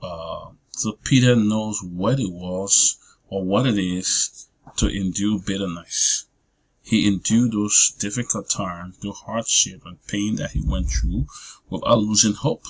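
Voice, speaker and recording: A man, giving a personal testimony talk as a guest speaker, hesitant with many drawn-out fillers and restarts. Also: fairly low pitch, breathy edge, poor audio. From Liberia. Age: 40s.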